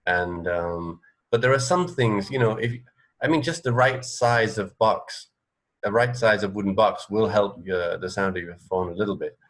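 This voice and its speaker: A middle-aged man co-hosting a radio show, chatting casually and haltingly over a video call from home. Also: on the low side, fairly bright tone. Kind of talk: casual chat. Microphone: earphone mic on a video call.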